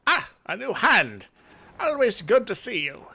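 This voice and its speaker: A man voicing a hearty middle-aged woman officer in a fantasy game, loud, brisk and welcoming. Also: high-pitched, wildly swooping pitch, vocal fry, husky, pitched above the performer's own voice, heavily acted.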